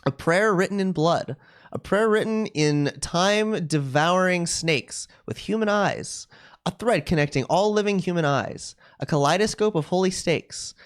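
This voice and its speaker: A game streamer giving casual, halting live commentary and reading game text aloud expressively. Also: medium pitch. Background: music.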